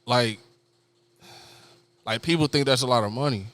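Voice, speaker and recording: A man from New York City, a guest on a podcast, talking in a laid-back, slangy, soft-spoken way about his art gallery business. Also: medium pitch, normal speed. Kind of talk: casual podcast conversation.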